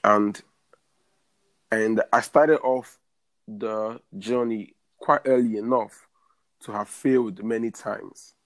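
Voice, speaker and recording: A man's voice: on the low side, steady tone, slow, pauses often. A man in his thirties, a guest in an interview, giving earnest career advice and often repeating words as he builds a point. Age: 30s.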